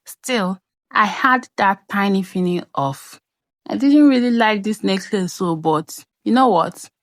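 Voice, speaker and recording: A young adult woman with a Nigerian accent tells a personal story in a conversational, expressive way, as a craft vlogger's voice-over. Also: mid-range voice, a little slow, no room sound, studio quality.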